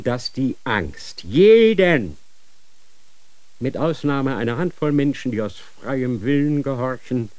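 A man's voice: mid-range voice, darkish tone, no room sound. An actor playing a stern, fanatical church inquisitor who lectures his son in a solemn, preaching manner. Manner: solemn, preaching, grandly insistent. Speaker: inquisitor father. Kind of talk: radio drama acting.

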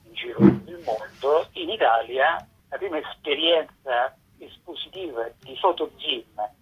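Very raspy man on tinny phone line. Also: fairly high pitch, expressive, a little slow. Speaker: festival organiser being interviewed. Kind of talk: explanatory interview answer. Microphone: phone call. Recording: tinny, distorted telephone line.

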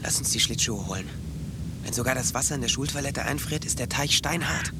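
A man's voice: mid-range voice, a little fast, close to an everyday voice. An actor playing a dreamy, earnest teenage boy with a matter-of-fact way of talking, in a German radio drama. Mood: insistent. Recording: ambient noise behind studio drama. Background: background ambience.

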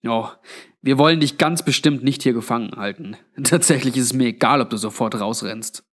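Guy as tired old undead man, mid-pitched, grave and resigned, audiobook ghost story. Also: normal speed, natural voice. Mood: blunt.

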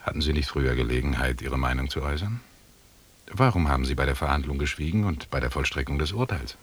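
An actor playing a stern, commanding expedition leader in a German radio drama, speaking with measured authority. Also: male voice, very deep voice, animated, slightly rough, full character voice. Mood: controlled, challenging.

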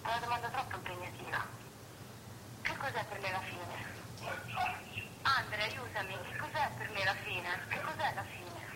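A woman chatting casually over a phone played through a loudspeaker. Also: normal speed, long pauses. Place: at home, on the phone. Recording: noisy speakerphone call, low rumble.

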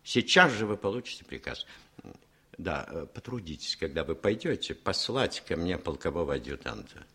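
Radio drama role: aloof, listless colonel, low husky voice, condescending drawl. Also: male voice, big pitch swings, moderate pace, bright, forceful tone, full character voice. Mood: cool, languid. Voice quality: weak, listless. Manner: hesitant drawl, lazily sad. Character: aloof, condescending, languid male regimental colonel. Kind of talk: radio play acting.

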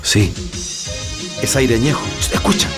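A man's voice: mid-range voice, a little slow, close to an everyday voice. Male actor for defiant prisoner comrade, radio drama, Chilean accent, middle register, prominent music bed. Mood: scornful. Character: defiant male political prisoner, steadfast comrade with quiet resolve.

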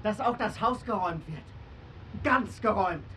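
An actor playing a cocky, threatening young gangster who barks taunts and orders in a crime radio drama. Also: male voice, sits high, animated, a little slow, fairly bright tone, slight background noise, rough recording. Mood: threatening, commanding. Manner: taunting, aggressive, barking orders.

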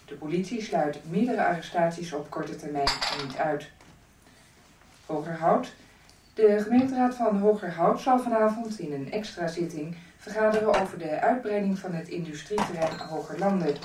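An actress plays a sober radio newsreader with a low voice, reading a formal bulletin. The sound is echoey and slightly distant, with clear clattering noise around it. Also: female voice, normal speed, close to an everyday voice. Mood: neutral, official. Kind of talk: formal scripted news bulletin.

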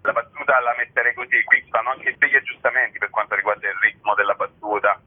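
A man's voice: lowish voice, flat delivery, quick pace, breathy edge. A man giving conversational, explanatory interview answers as a guest, often padding sentences with hedging interjections. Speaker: interview guest, satirical news writer. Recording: narrow-band telephone or radio sound.

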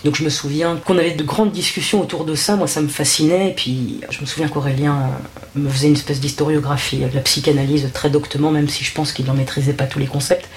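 A woman from France, a journalist, recounting her experience in a thoughtful, measured way with frequent hesitations. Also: sits low, steady tone, slight background noise. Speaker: journalist, interviewee. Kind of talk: reflective interview testimony.

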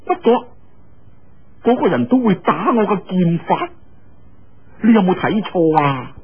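Man playing puzzled young swordsman, husky voice. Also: sits high, big pitch swings, a little slow, narrow, tinny audio, heavily acted. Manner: questioning, hesitant, sighing.

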